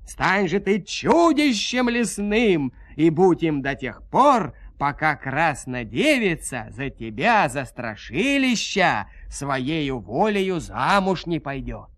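Male narrator as wicked shapeshifter, loud, high, slow drawn-out declaiming, gloating, storybook villain. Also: sing-song, no room sound, exaggerated voice. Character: gloating evil male shapeshifter casting a curse.

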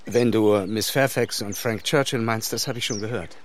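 An actor playing a reserved, upright and tender gentleman in a radio drama, speaking earnestly and haltingly. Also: lowish voice, lightly acted. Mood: awkward, reserved. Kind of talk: German radio drama acting of a classic novel.

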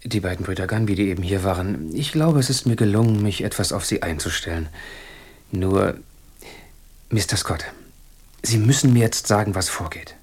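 An actor playing a bewildered, wry, wealthy Englishman in a German radio drama, musing aloud in an animated manner. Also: sits low, normal speed, natural voice. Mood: searching.

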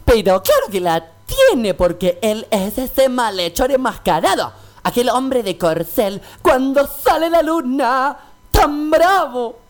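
Woman acting a lovelorn sergeant, very high pitch, animated swings, florid, jealous, melodramatic, radio comedy. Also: heavily acted. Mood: accusing. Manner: florid, halting declamation.

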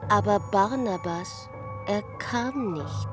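A woman's voice: mid-range voice, slow. A woman reading literary narration in a radio drama. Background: loud music.

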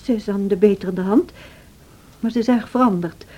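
An actress playing an anxious, protective, loyal servant woman in a Dutch radio drama, speaking in an earnest, measured way. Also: medium pitch, mellow, close to an everyday voice. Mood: guarded. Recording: dull old radio play recording.

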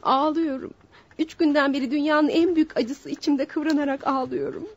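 A woman's voice: high voice, steady tone, moderate pace, slight background noise. An actress playing a remorseful woman in a radio play, imploring in a melodramatic way. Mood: anguished pleading. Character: beautiful, pleading, remorseful woman in anguish. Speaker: radio drama actor. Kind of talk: Turkish radio theater acting. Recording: dull lo-fi radio recording.

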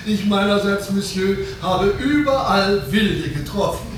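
A man's voice: high-pitched, expressive, a little slow, a little hiss or hum. A man playing an imperious, unflappable submarine commander who speaks formally and dismissively in a radio drama. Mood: haughty amusement. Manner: haughty, coolly dismissive, formal. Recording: roomy, distant sound with music. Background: music.

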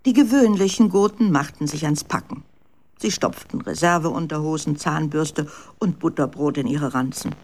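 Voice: mid-range voice, moderate pace. A narrator telling a scripted story for children in a radio drama.

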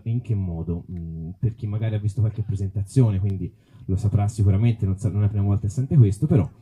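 A man's voice: lowish voice, mellow, slightly rough. A man giving an informal book talk, explaining and posing questions to himself. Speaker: author and musicologist presenting his book. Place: outdoor festival event.

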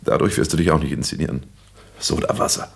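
An actor playing a brash, theatrical young would-be actor in a German radio play, teasing and declamatory. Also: male voice, very deep voice, steady tone, fast talker, creaky, noisy background, exaggerated voice. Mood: mocking, lecturing.

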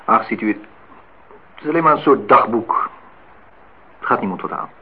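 A man's voice: medium pitch, animated, normal speed, breathy edge, lo-fi sound. An actor playing a guarded, prickly, self-deprecating man in a naturalistic radio drama, on a noisy old recording. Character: reserved, prickly, self-deprecating male amateur painter.

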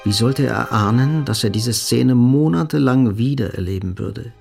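A man's voice: medium pitch, moderate pace, gravelly, darkish tone, faint music behind. A man narrating a German radio drama in a scripted, literary style.

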